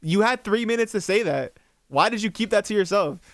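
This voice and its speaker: A high-pitched man talks his way through a reaction video, rambling and joking with plenty of swearing. His voice is smooth and clean. The mic is close and dry, with no room sound. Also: lively intonation, fairly quick, a bit bright, dry recording. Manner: rambling, jokey, swears freely. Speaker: reaction streamer. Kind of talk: casual reaction commentary.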